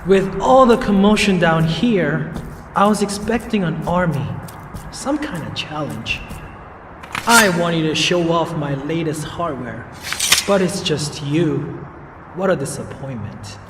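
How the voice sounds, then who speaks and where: A man's voice: on the high side, animated, measured pace. An actor playing a boastful, arrogant male game villain who taunts theatrically. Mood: mocking, smug. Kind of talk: video game cutscene acting. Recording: long reverb, noisy game ambience behind.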